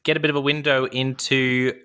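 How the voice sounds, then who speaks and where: Bright-voiced male podcast host. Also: medium pitch, little pitch movement, normal speed, clear, resonant tone. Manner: upbeat, encouraging, with fillers. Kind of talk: podcast interview and promo.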